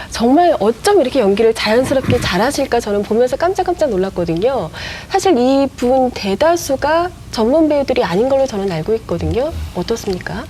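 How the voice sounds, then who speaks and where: A woman hosting a broadcast talk show, asking her guests questions in a measured, polished way. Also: on the high side, big pitch swings, a little mellow, a little hiss or hum. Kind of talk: broadcast studio interview. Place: TV studio.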